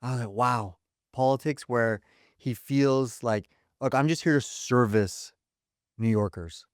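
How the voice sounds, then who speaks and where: A man's voice: medium pitch, unhurried, no echo, studio quality. A man co-hosting a podcast, chatting casually with lots of "like" and "you know" fillers. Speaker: podcast co-host. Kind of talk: casual chat.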